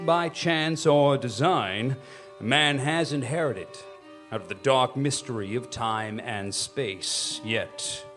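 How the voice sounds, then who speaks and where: A man's voice: mid-range voice, fairly bright tone. A man playing a grave, ominous storyteller, giving solemn scripted narration in a radio play. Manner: solemn, measured phrasing. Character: grave, ominous male narrator of an alien invasion tale.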